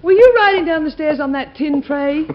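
A woman playing a wry, affectionate, gently scolding wife and mother in an old-time radio comedy. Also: sits high, moderate pace, lightly acted. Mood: teasing. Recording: dull, hissy old radio recording.

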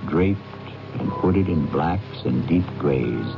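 A man hosting and narrating a radio drama in a grave, deliberate, suspenseful manner. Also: rumbling low, animated, vocal fry, heavily acted. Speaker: host and narrator. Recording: dull 1970s radio recording with music.

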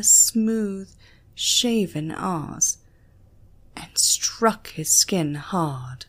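A British woman narrating an erotic story in a breathy voice that often drops toward a whisper. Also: lowish voice, a bit bright. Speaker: audiobook narrator.

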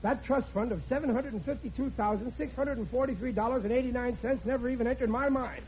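Blustery stubborn american working class dad, high voice, old radio comedy, noisy muffled recording. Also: male voice, fast talker, a little mellow. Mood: stubborn, defensive. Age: middle-aged. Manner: blustery, emphatic. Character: blustering, stubborn working-class father, easily swayed by money. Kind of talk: 1940s radio sitcom acting.

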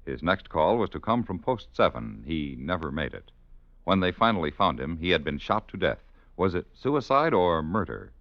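A low-voiced American man works as a vintage radio announcer. He reads with polished drama and wide pitch movement. The old recording sounds muffled. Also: sing-song, moderate pace, dry recording. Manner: polished, dramatic promotional reading.

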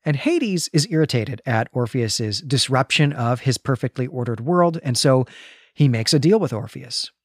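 A man's voice: medium pitch, expressive, normal speed, breathy edge, no room sound, studio quality. A man co-hosting a podcast, recapping and discussing a story with emphatic repetition. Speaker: podcast co-host.

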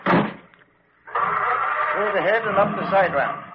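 Plain-spoken American officer, vintage radio play, roomy, muffled sound. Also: male voice, sits high, drawn-out words. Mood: helpful. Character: plain-spoken, helpful male police officer. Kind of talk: old-time American radio drama acting. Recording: echoey, noisy, muffled old radio recording.

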